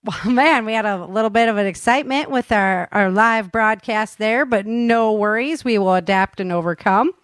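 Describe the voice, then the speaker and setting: A woman's voice: medium pitch, normal speed, few pauses, no room sound, clean studio sound. An American woman hosting a podcast in a casual, chatty, slangy way. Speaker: podcast host. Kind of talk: casual upbeat podcast chat.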